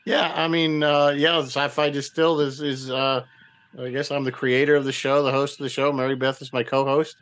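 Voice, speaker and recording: A man with an average-pitched voice talks casually as a guest podcaster. His delivery is loose and offhand. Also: flat delivery, normal speed, a bit bright, smooth. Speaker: podcast creator and host, guest. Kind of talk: casual chat.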